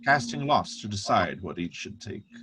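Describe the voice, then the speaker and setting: A man narrating a dramatic scripture reading with solemn, measured, deliberate phrasing. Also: fairly low pitch, very animated, noisy background.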